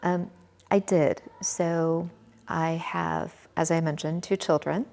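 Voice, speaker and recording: An American woman in her forties from Michigan, answering interview questions in a measured, thoughtful way with frequent pauses. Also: low-pitched, steady tone, gravelly, darkish tone. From Michigan, USA. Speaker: interview guest, volunteer. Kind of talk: conversational interview.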